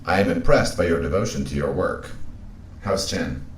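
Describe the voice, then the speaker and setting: An actor voices a calm, reserved man in a mechanical suit for a sci-fi drama. His deep voice rings with echo. He speaks formally and drily, with careful, measured wording. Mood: calm, dry. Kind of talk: science fiction audio drama. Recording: echoey, faint background noise.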